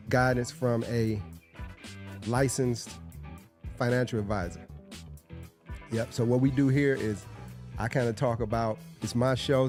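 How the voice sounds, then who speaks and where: A man's voice: mid-range voice, a little mellow. A man hosting his own podcast in a loose, casual way, under the weather and sniffly, often restarting his sentences. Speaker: podcast host. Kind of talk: casual solo podcast talk.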